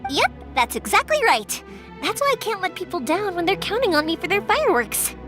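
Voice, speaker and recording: A woman's voice: extremely high pitch, fairly quick, music in the background. A woman voicing a cheerful, chatty young fireworks maker in a video game. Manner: lively, chatty and warm-hearted storyteller.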